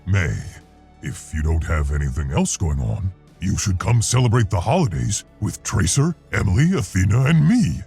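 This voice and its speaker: A man playing a brainy, gentle gorilla scientist with a gruff voice and a friendly, earnest manner in a video game. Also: deep voice, very animated, normal speed, exaggerated voice. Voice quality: gruff, gravelly. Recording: music behind the voice.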